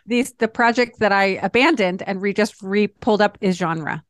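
A woman screenwriter co-hosting a talk show, speaking about her own work in a reflective, halting way. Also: medium pitch, no echo, studio quality. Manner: self-reflective, halting, many restarts. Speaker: podcast co-host and screenwriter. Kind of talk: casual reflective chat.

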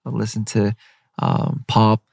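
A young man who is a guest in a casual interview, speaking in a soft-spoken, reserved way with hesitations and fillers. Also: fairly low pitch, flat delivery, measured pace, vocal fry, no echo, clean studio sound. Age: young adult. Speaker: K-pop group member, guest.

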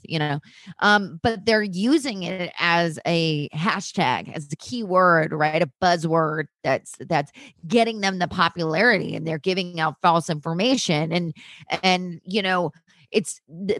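Woman, podcast guest, low-pitched for a woman, candid, animated, swears freely, dry close sound. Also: no echo. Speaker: interview guest, actress. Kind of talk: casual podcast conversation.

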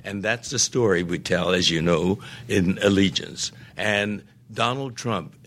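Older man, guest, earnest, deliberate, low-pitched, unhurried, voice fading. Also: fairly bright tone. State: losing his voice. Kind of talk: interview conversation.

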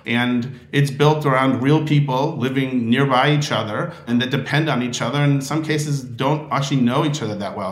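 Male interviewer, friendly, halting with fillers, roomy echoey sound. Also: medium pitch, fairly bright tone. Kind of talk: hosted interview.

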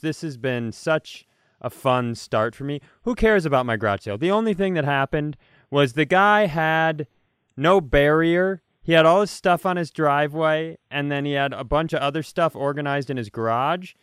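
A man's voice: on the high side, normal speed, fairly bright tone, smooth, dry recording, clean studio sound. An American man co-hosting a comedy podcast, bantering casually in a rambling, playful way full of rhetorical questions.

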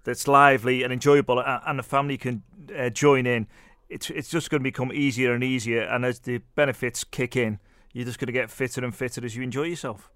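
A man's voice: medium pitch, fairly even intonation, a little fast, a bit bright, clear, resonant tone, no room sound. A man hosting a casual interview, asking chatty, friendly questions. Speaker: interview host.

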